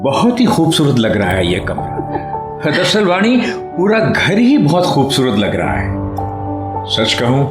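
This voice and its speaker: A man playing a poetic doctor husband with private disappointments, theatrical and expressive, in a Hindi radio play. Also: a little high, unhurried, echoey room, over music. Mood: indulgent, praising.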